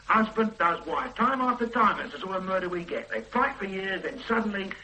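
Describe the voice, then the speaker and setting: Doubting cop in a radio play, high bright voice, keeps arguing the case. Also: male voice, fairly quick, slight background noise, low-quality recording. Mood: musing. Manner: probing, doubtful, argumentative. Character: doubting male police detective, stubborn and thoughtful. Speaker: police detective. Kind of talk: radio drama acting.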